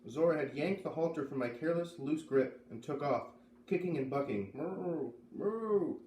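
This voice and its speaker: A man reading a children's novel aloud as an expressive storybook narrator. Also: a little high, a little fast. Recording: roomy, distant mic, faint noise.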